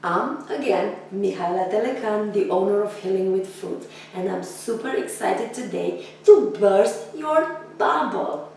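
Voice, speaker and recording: A woman with a scratchy voice gives a blunt, energetic fitness lesson straight to her viewers. Her voice is caught from a distance, with a strong echo from the room around her. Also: mid-range voice, a little slow, vocal fry. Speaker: nutrition and fitness educator. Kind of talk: direct-to-camera health lesson. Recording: very echoey room, voice from off-mic.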